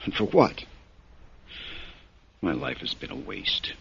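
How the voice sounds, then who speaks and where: A man's voice: low-pitched, big pitch swings, slow, creaky, a bit bright, slight background noise, full character voice. A man playing a weary lord who crusades for justice in a radio drama, impassioned and bitterly sardonic, on a dull vintage recording. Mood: despairing. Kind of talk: golden-age radio drama acting.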